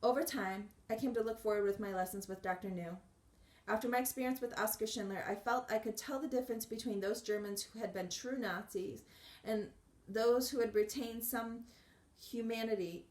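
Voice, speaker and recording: A woman reading a memoir aloud in a measured, earnest way, with occasional restarts. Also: mid-range voice, reverberant. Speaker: audiobook reader.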